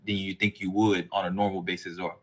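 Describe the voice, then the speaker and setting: Guy hosting a podcast, kinda low voice, super casual, rambles with lots of side bits. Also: little pitch movement, fairly quick. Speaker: podcast host.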